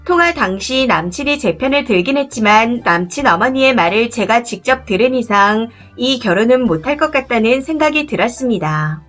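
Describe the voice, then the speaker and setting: Woman narrator, higher voice, calm steady story reading, faint music behind. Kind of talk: scripted reading of a first-person story. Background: faint background music.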